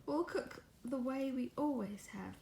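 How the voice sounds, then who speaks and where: A woman's voice: high-pitched, unhurried, creaky, a little mellow, reverberant, a little hiss or hum. A woman voicing a bossy cat from a storybook, scolding and dismissive. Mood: snappish.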